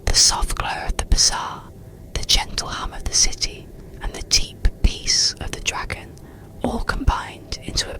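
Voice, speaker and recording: A narrator reads a soothing ASMR bedtime story in a hushed, breathy, unvoiced whisper with a gentle manner. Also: low-quality recording.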